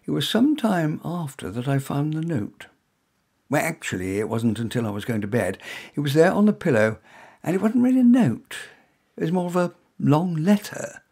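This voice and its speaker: A man's voice: normal speed, breathy edge. A man from England reading his own first-person short story in a dry, wry, conversational way. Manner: dry, wry, conversational delivery with lively pitch.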